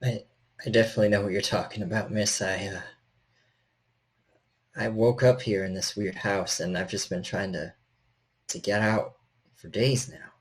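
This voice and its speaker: A man voicing a stocky, gentle, frightened handyman in a tabletop horror game, speaking hesitantly and stammering in a friendly way. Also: lowish voice, fairly even intonation, unhurried, pauses often, natural voice. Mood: weary, earnest.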